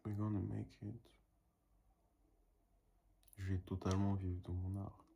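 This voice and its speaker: Husky low-voiced young man. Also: flat delivery, takes it slowly, warm tone. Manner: self-motivating, rambling, drops English phrases. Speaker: painter. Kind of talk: casual vlog monologue.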